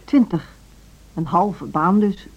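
A woman's voice: fairly low pitch, slow pace, darkish tone, slightly rough. A middle-aged woman acting a dedicated, earnest music school director in a Dutch social radio drama. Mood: earnest, persuasive. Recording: dull, band-limited radio drama recording.

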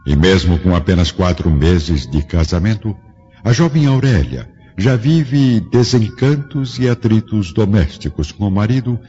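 Male narrator for radio drama, solemn scripted read, deep voice, muffled sound with music underneath. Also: mellow, breathy edge. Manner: solemn, grave, formal. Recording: dull lo-fi studio drama, music behind.

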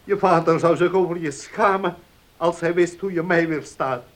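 An actor playing a stern, pious old uncle in a Dutch radio play, speaking in a solemn, emphatic way. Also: male voice, on the high side, normal speed, close to an everyday voice. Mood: indignant, commanding. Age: older.

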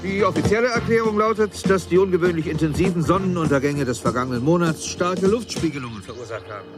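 Newsreader played by a man over loud music. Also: fairly high pitch, animated, quick pace, close to an everyday voice. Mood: official, announcing. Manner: formal, announcing. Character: brisk male radio newsreader reporting strange sightings. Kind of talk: news bulletin in radio drama.

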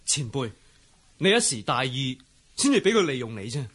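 A man's voice: medium pitch, sing-song, normal speed, fairly bright tone, breathy edge. A male actor playing an earnest, accusing young outlaw fighter in a Cantonese wuxia radio drama, insistent yet deferential. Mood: persuasive.

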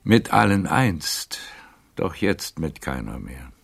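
An actor in a radio drama playing a proud, bitter man over seventy with a theatrical, world-weary delivery and a gravelly voice. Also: a bass voice, very animated, takes it slowly, exaggerated voice. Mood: wistful.

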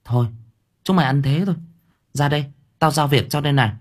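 A man's voice: on the high side, fast talker, voice pushed up, lightly acted. A man voicing a bossy, foul-mouthed young woman exorcist who scolds shrilly. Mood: scolding.

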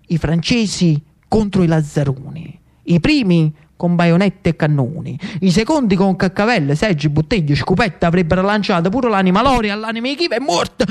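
A man with a Neapolitan accent narrating a theatrical monologue as an actor-storyteller, dramatic and ironic, slipping into dialect. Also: high voice.

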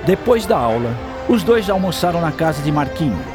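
A man tells a radio story as its narrator. His voice sits somewhat high and moves in lively swoops. Loud music plays beneath the scripted narration. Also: brisk.

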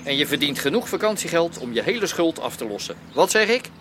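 A mocking Rotterdam gangster. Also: male voice, on the high side, big pitch swings, fast, fairly bright tone, soft background music. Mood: menacing. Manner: mocking, threatening. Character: menacing Rotterdam gangster Aad.

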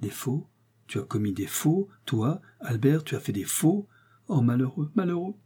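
A man's voice: mid-range voice, sing-song, normal speed, mellow, slightly rough, voice pushed up. A man voicing an anxious, loving middle-aged wife who pleads tenderly with her husband. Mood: alarmed.

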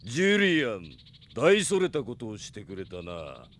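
An actor playing a vengeful, threatening bandit in a radio drama, with rough speech. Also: male voice, lowish voice, wildly swooping pitch, normal speed, a bit bright. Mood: menacing. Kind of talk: Japanese radio drama acting.

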